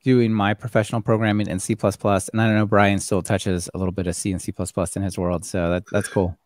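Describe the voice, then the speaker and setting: Middle-aged guy hosting a podcast, upbeat, lowish voice, dry close mic. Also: little pitch movement, fast, darkish tone, no room sound. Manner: upbeat, welcoming. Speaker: podcast host. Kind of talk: casual live-stream podcast chat. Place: home office, indoors. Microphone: studio mic on boom arm.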